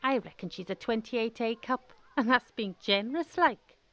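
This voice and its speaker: A woman's voice: fairly high pitch, animated, moderate pace, natural voice. A woman playing a swaggering teenage schoolboy bully with a Welsh accent, taunting in a radio drama. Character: cocky, jeering fifteen-year-old schoolboy bully.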